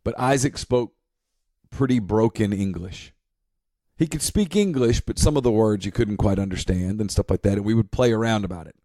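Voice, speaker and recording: A man's voice: on the low side, moderate pace, warm tone, no room sound. A man speaking casually as a podcast co-host. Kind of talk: casual storytelling.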